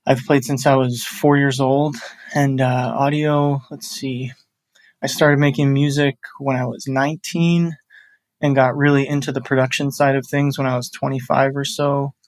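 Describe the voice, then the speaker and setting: A man in his thirties talking things through in a casual chat, pausing and restarting as he thinks. His voice stays level, with little rise or fall. The recording is dry and close. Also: mid-range voice, little pitch movement, no room sound. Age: 30s. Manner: thoughtful, rambling, with restarts and filler. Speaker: audio producer.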